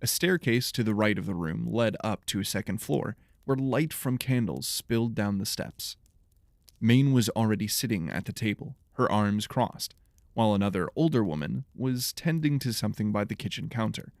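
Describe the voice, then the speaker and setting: Male narrator, low pitch, gravelly fry, measured storytelling, scripted audiobook fiction, dry recording. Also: lively intonation, no echo.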